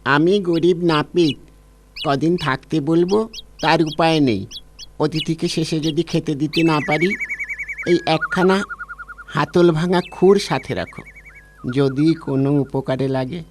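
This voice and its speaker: A man's voice: fairly high pitch, unhurried, long pauses, slight background noise, lightly acted. A man playing a poor, kindly barber in a children's cartoon fairy tale, apologetic and well-wishing. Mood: humble, kindly.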